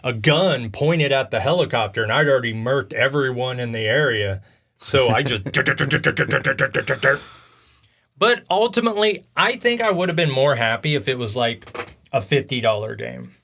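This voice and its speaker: A man's voice: medium pitch, unhurried, creaky, sharp tone, a little hiss or hum. A man hosting a video game podcast, chatting casually in a rambling, slangy storytelling way. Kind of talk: casual chat about video games.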